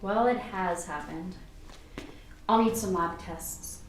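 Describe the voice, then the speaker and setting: A woman's voice: lowish voice, takes it slowly. Woman acting a frank doctor, echoey. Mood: matter-of-fact. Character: frank, personable female doctor new to the job. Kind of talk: amateur radio play acting. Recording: echoey room, distant, faint music.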